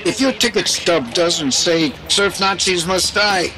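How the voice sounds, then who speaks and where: A man's voice: sits high, unhurried. A man playing a brash showman doing barker patter outside a cinema in an audio drama. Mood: hyping.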